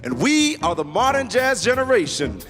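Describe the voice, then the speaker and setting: A man's voice: extremely high pitch, wildly swooping pitch, moderate pace, heavy background noise. A man from England, a saxophonist, giving an emphatic, rousing stage introduction. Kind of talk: stage announcement. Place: large indoor arena with live band. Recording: echoing arena with loud band and crowd.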